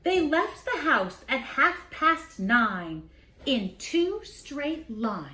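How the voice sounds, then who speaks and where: Woman, storybook read-aloud, slow pace, theatrical delivery, dramatic pauses, echoey room. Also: sits high, very animated, pushed and bright. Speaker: picture book reader. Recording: roomy echo.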